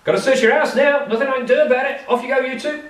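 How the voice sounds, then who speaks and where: A man voicing a cold, menacing captain of the royal guard, speaking in a calm, matter-of-fact, threatening way. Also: very high-pitched, very fast, echoey room, recorded from a distance, voice pushed up.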